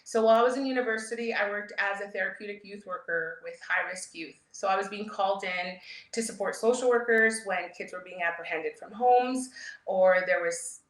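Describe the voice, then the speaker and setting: A woman's voice: mid-range voice, a little fast, a bit bright. A woman from Canada, born in El Salvador, giving an informal keynote with personal stories in a candid, chatty manner. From grew up in Edmonton, Canada; born in El Salvador. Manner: candid, chatty, often chuckling. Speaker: keynote speaker, startup founder. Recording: roomy, echoing sound.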